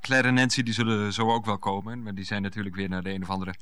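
A man's voice: on the low side, fairly even intonation, quick pace, fairly bright tone, clean tone, lightly acted. A man playing a grumbling, dryly mocking, idealistic husband in a radio play.

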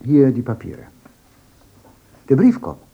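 A glib young guest, husky. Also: male voice, medium pitch, huge pitch swings, slow, phone-quality sound, heavily acted. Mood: chatty. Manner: talkative, ingratiating. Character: glib chatty young man, boastful guest. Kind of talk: radio play acting.

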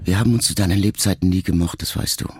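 An actor playing a melancholy, reflective writer son in a radio drama, speaking in measured, intimate, halting phrases as if to his mother. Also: low voice, background noise, close to an everyday voice. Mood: quietly bitter.

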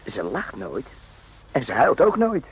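An actor playing a naive, randy young soldier in a radio drama, rambling and jokey in an eager, confiding way. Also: male voice, mid-range voice, wildly swooping pitch, measured pace, husky, exaggerated voice. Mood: earnest, confiding. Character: chatty, naive, randy young army soldier, former circus hand. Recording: muffled lo-fi old radio recording.

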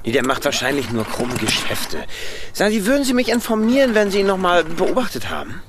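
A man's voice: sits high, wildly swooping pitch, a little fast, noisy background. An actor playing a nosy, skeptical male neighbor in a German radio play, inquisitive and colloquial. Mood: probing.

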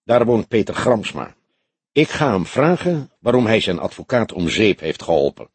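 A man's voice: lowish voice, big pitch swings, moderate pace, husky, dry recording, full character voice. A man voicing a grizzled older police detective in an audiobook, grumbling, scornful and blunt. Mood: grim.

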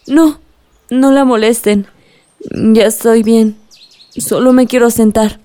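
An actress playing a polite, fragile young granddaughter in a radio drama. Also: female voice, on the high side, steady tone, takes it slowly, dark tone. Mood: weak, reassuring.

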